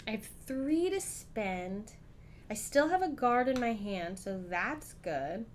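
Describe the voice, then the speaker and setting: A woman streaming a solo board game, chatting casually and thinking aloud, with frequent ums and throat clearing. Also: fairly high pitch, lively intonation, slow pace, a little hiss or hum. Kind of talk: casual live-stream play-through.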